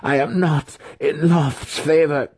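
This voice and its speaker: A man's voice: on the high side, fairly even intonation, slow, a little mellow, husky, pitched above the performer's own voice, exaggerated voice. A man voicing the tormented, frantic spirit of a fallen priestess in a fantasy audiobook, shrieking and pleading. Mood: distressed.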